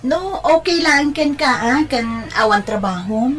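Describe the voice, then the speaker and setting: A woman's voice: high-pitched, lively intonation, unhurried, fairly bright tone, slight background noise. A woman playing a friendly, sweet young girlfriend in an Ilocano drama, chatty and with an Ilocano accent. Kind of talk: Ilocano radio-style family drama acting.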